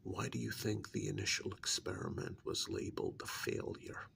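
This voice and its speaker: A man playing a stern, secretive government handler in an ASMR role-play, speaking softly in a pointed, reprimanding, deliberate way. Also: on the low side, wildly swooping pitch, a little hiss or hum, exaggerated voice. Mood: insistent, secretive. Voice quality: breathy near-whisper with gravelly creak. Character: stern, secretive male government handler scolding an undercover agent.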